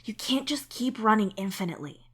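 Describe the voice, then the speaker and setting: A woman voicing an irritable but caring young man who scolds in an exasperated, hushed, sweary way. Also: medium pitch, animated, brisk, breathy edge, pitched above the performer's own voice. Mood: scolding concern.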